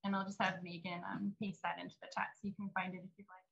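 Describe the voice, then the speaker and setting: Woman host introducing readers; bright, forceful tone over dull, muffled video-call sound. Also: lowish voice, little pitch movement, fast talker, vocal fry. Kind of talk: reading speaker bios. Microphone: computer mic on a video call.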